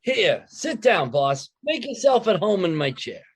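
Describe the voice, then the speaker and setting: An actor playing a brash, gluttonous neighbor pal who delivers hammy wisecracks in an old-time radio comedy. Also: male voice, sits high, sing-song, unhurried, breathy edge, full character voice. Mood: ingratiating, wry.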